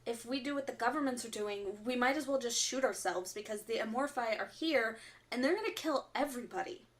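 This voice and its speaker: A woman playing an earnest, stern resistance fighter who delivers solemn, deliberate warnings in a radio drama broadcast. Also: a little high, lightly acted. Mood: impassioned. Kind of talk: audio drama acting as underground radio broadcast.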